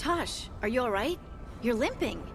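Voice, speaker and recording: A woman's voice: on the high side, expressive, moderate pace, noisy background. A woman voicing a small, caring female scout in a fantasy video game, earnest and friendly. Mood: concerned, teasing. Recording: music behind the voice.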